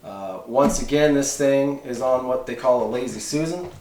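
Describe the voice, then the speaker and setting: A man's voice: mid-range voice, moderate pace, a little mellow. A man giving a casual hands-on how-to demonstration, talking in a folksy, rambling, conversational way. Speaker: host of a radio hobby how-to channel. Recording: roomy echo, clear background noise, clicks.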